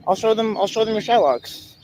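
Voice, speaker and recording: A man in an on-the-street confrontation, speaking insistently and repetitively, with some halting starts. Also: sits high, expressive, darkish tone, rough recording. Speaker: citizen investigator confronting a stranger. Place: outdoors in a public place. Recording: outdoor recording with faint ambient noise.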